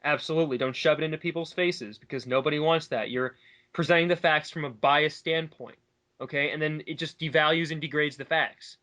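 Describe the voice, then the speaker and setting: Man, fairly high voice, very bright and forceful, casual co-host commentary, emphatic, opinionated. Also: steady tone, brisk. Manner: emphatic, argumentative, talks at length.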